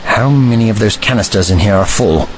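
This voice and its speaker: A man playing a calm, protective, wry time-travelling adventurer with measured, formal phrasing in an audio drama. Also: on the low side, music in the background, close to an everyday voice, low-quality recording. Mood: earnest, reassuring. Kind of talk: fan audio drama acting.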